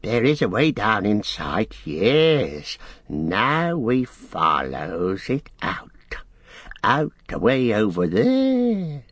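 A man voicing a wretched, sly cave creature for a storybook, with a strained, croaking voice and drawn-out, sing-song speech. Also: lowish voice, huge pitch swings, fairly bright tone, no room sound, full character voice. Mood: excited, then furtive.

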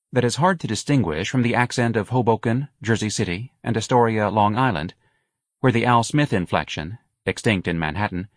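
A male audiobook narrator with a fairly low voice reads literary prose aloud. His delivery is measured and expressive, with articulate phrasing, and the recording is close and dry. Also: dry recording.

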